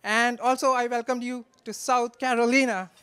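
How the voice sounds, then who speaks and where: A man gives a joking, affectionate, slightly halting wedding toast from notes into a handheld microphone. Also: very high voice, flat delivery, heavy background noise. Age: 20s. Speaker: best man, groom's brother. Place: wedding reception in a large draped marquee.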